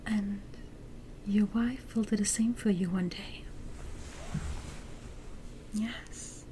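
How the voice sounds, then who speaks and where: A woman playing a gentle queen mother in a medieval ASMR roleplay, comforting her young son in a soft, breathy, reassuring whisper. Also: mid-range voice, a little hiss or hum, natural voice, poor audio. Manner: slow, tender, reassuring.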